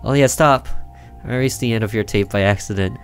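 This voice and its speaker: A man's voice: fairly low pitch, fairly quick, faint music behind, lightly acted. A man voicing a nervous young boy who coaxes his sister in a visual novel game. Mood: confessing. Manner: coaxing, hesitant. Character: nervous, coaxing older brother, a young boy.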